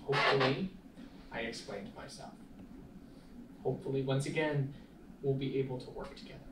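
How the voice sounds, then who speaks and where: A young man reading the part of an earnest, hesitant college student at a film table read, speaking in a halting way. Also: mid-range voice, steady tone, close to an everyday voice. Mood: reflective. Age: young adult. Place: small meeting room, indoors. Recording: echoey room, distant mic, clear noise.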